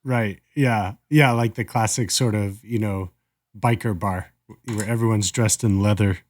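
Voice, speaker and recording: Easygoing low-pitched man, dry studio-like sound. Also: fairly even intonation, a little slow, darkish tone, dry recording. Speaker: podcast co-host. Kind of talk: casual review chat.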